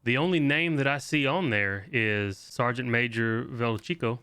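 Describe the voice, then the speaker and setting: Male gaming guide host, mid-pitched, bright forceful voice, casual walkthrough delivery, very dry close sound. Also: moderate pace, smooth, no room sound. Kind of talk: casual game walkthrough commentary, reading game text aloud.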